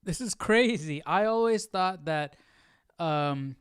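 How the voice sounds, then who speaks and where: A man with a fairly high, clean voice chats his way through a study session. He questions and puzzles aloud in an informal way, in a dry, close recording. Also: animated, slow pace, a bit bright, clean tone, no room sound. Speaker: host of a live Bible study. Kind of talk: casual reaction and study commentary.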